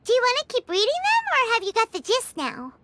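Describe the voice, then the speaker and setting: A woman voicing a tiny, cheerful, chatty childlike fairy companion in an animated video game, with a perky manner. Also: very high-pitched, fairly quick, slight background noise, exaggerated voice. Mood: chirpy, explaining. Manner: speaks of self in third person, perky.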